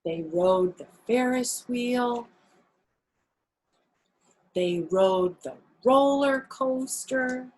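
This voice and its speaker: A woman's voice: on the high side, very slow, long pauses, darkish tone, low-quality recording. A woman storyteller reading aloud to young children, adding casual explanations and questions as asides.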